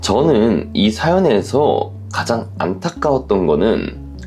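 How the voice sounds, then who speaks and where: Low-pitched man narrating, creaky and expressive. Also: takes it slowly, faint music behind. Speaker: host reading and commenting on viewer letters. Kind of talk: scripted narration, reflective advice commentary.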